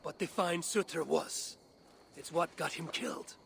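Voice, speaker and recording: An actor playing a commanding, persuasive godly father in a video game cutscene, speaking formally and in a measured way. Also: fairly high pitch, creaky, a bit bright, slight background noise. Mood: measured, solemn. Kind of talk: dramatic game cutscene acting.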